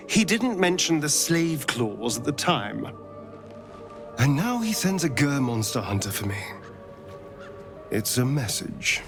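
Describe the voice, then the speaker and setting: A haunted, sardonic male vampire spawn from a fantasy video game. His pitch swings dramatically and he takes his time over each phrase, wry and bitter, with music behind him. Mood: wry, uneasy. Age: centuries-old undead. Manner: theatrical, wry, bitter, deliberate with dramatic pauses.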